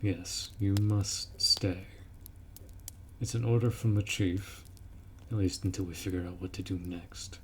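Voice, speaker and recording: A man playing a gruff, dutiful orc warrior in a fantasy roleplay audio drama, blunt and protective as he speaks to the listener. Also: low voice, fairly even intonation, a little slow, slight background noise. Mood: teasing, blunt.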